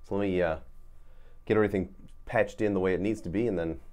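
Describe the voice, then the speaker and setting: A man's voice: low voice, steady tone, fast, darkish tone. A man hosting a guitar video, talking casually to viewers in an informal, rambling way.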